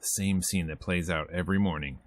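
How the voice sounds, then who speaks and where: Male gaming streamer: deep voice, casual narration, wry off-the-cuff commentary. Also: fairly even intonation, a little fast, dry recording. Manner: wry, informal asides. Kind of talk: casual visual novel playthrough, narrating and commenting.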